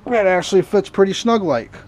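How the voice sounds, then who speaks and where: Man, fairly high voice, unhurried folksy rambling, talking to himself, faint background noise. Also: lively intonation, darkish tone. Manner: talks to himself in muttered asides; folksy, informal grammar. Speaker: host of hobby repair and scrapping videos. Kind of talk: casual rambling workbench narration while working.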